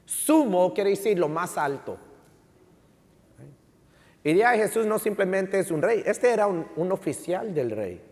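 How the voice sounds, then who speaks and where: A man's voice: sits high, very animated, a little slow, long pauses, a little mellow. A man preaching as a pastor in an emphatic, teaching way, repeating key phrases and switching between Spanish and English. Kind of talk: sermon with rhetorical questions to the congregation. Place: large indoor church hall. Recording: reverberant hall sound.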